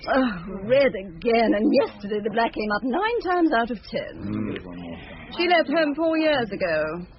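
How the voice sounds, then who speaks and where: An actress playing a middle-aged, self-absorbed former dancer addicted to gambling, offhand and theatrical in a radio drama. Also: female voice, medium pitch, a little hiss or hum, lightly acted. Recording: muffled, lo-fi radio drama recording.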